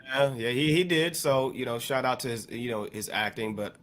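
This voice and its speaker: A man co-hosting a podcast with casual music commentary, measured and halting as he qualifies his opinions. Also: medium pitch, fairly bright tone. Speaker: podcast co-host.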